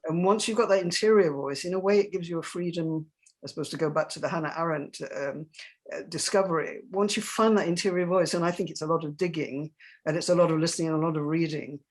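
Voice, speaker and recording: Woman with a low voice, playwright on a panel, reflective, emphatic, searching for words. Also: fast.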